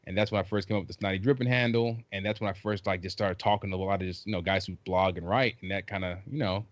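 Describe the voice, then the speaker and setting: Low-voiced, jokey middle-aged man on a lo-fi line. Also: fast, no echo. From Trinidad, raised in South Carolina. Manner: jokey and animated, with many restarts. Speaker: podcast guest, basketball writer. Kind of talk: casual chat.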